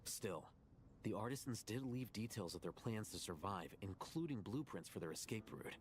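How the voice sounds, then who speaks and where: An actor playing a calm, cautious, learned man in a video game, speaking in a composed, matter-of-fact way. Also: on the low side, moderate pace, slight background noise, close to an everyday voice. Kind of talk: video game voice acting, explanatory. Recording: game music under the voice.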